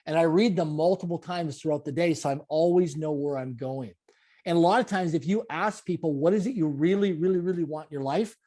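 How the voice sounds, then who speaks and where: A man in his late forties from Canada speaks as an interview guest, his voice high for a man and warm, rounded in tone. He drives his points home with emphatic, repetitive motivational phrasing. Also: fairly quick. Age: 40s. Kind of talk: conversational interview.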